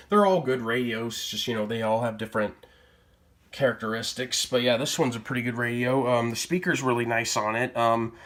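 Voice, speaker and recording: A man's voice: medium pitch, a bit bright. Casual man showing off his collection. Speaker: collector presenting weather radios. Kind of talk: casual product showcase vlog.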